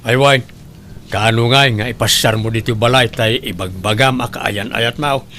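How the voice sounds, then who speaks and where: A man's voice: medium pitch, moderate pace, sharp tone, a little hiss or hum, close to an everyday voice. A man with a Filipino accent playing a stern, nagging middle-aged father and uncle in a comedy drama, scolding and questioning. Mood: probing. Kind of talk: amateur comedy drama acting.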